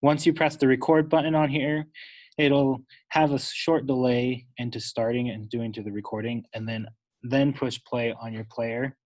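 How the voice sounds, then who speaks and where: A man giving an unscripted, instructional step-by-step software tutorial, talking wordily and correcting himself as he goes. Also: mid-range voice, fairly even intonation, normal speed, low-quality recording. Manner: instructional, wordy, with self-corrections.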